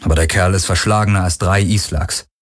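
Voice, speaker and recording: A man's voice: sits low, steady tone, brisk. Man as wary, sardonic young crewman.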